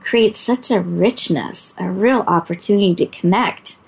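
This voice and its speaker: A woman hosting a motivational talk show, her high voice set at an ordinary pitch for her. She talks playfully and often breaks into a giggle. Her tone is encouraging throughout. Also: measured pace, creaky, husky, poor audio.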